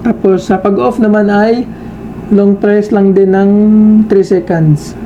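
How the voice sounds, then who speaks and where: A man giving a casual hands-on product demonstration as a reviewer, halting and repeating himself as he searches for words. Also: high-pitched, steady tone, warm tone, lo-fi sound, a little hiss or hum.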